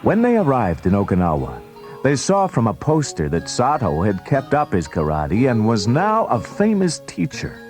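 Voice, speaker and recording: A man's voice: mid-range voice, huge pitch swings, moderate pace, a little mellow, faint music behind. A man reading scripted storybook narration as the narrator of a read-along recording.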